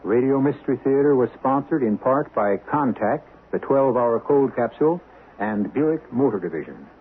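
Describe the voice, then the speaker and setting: A man with a medium-pitched American voice makes scripted radio announcements. His delivery is that of a broadcast announcer. The sound is narrow and tinny, like old radio. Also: lively intonation, moderate pace, a little hiss or hum. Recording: tinny vintage radio recording.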